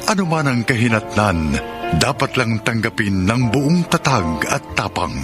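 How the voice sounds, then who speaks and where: A man with a Filipino accent giving solemn, measured, dramatic scripted narration as a radio drama host. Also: medium pitch, sing-song. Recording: loud music under the voice.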